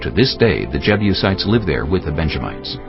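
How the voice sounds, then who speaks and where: A man narrating scripture from a script in a measured, solemn storytelling manner. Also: low-pitched, very animated. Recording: dull lo-fi, music behind. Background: music.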